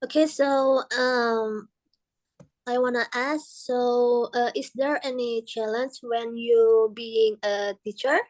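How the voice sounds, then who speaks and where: A woman's voice: a little high, steady tone, slow pace, dry recording. A woman interviewer asking questions in a tentative manner.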